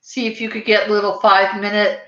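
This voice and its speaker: An American woman hosts a casual podcast with a chatty, rambling delivery. Her voice is bright and forward. The recording is echoey and slightly dull, like a lo-fi room pickup. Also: mid-range voice, little pitch movement, fairly quick. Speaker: podcast host. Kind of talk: casual chat. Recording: roomy echo, dull lo-fi sound.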